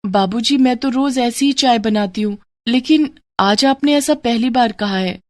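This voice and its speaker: A woman's voice: a little high, a little slow, no echo, clean studio sound, voice pushed up, lightly acted. A woman voicing a young newlywed daughter-in-law in a moral-story cartoon, polite, hurt and pleading. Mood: meek, defensive.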